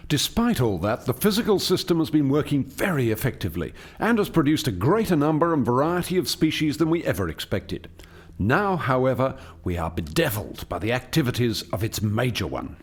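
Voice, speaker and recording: A man's voice: medium pitch, sing-song, fairly quick, slightly rough. An actor playing an eager, proud alien scientist who formally explains his experiment in a radio play. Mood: explanatory. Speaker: lead scientist presenting a project. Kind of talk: radio play dialogue, expository.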